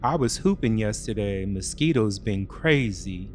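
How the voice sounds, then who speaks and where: A man's voice: fairly low pitch, animated, measured pace, faint music behind. A man acting an evasive, casual young boyfriend in an audio thriller, with an offhand, slangy way of talking. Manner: offhand, slangy, louder than the narration. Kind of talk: acted dialogue in an audio thriller.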